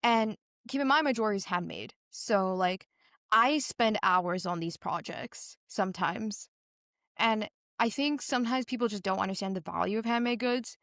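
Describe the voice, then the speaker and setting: A woman's voice: mid-range voice, moderate pace, fairly bright tone, no echo, clean studio sound. A teenage girl from Singapore giving earnest, conversational interview answers as a young business founder, telling stories animatedly with a lot of "like". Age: teens.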